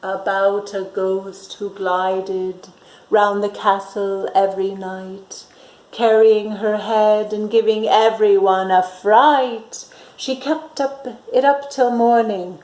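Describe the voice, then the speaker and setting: A woman reading a picture book aloud to young children in a bright, sing-song storytime way, doing little voices for the characters. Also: medium pitch. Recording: echoey room.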